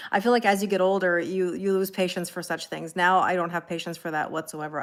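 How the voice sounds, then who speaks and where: Chatty Canadian woman on a live stream. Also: lowish voice. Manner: chatty, friendly, laughs often, reads out viewer names. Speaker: tarot reader hosting a live stream. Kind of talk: casual live-stream chat greeting viewers.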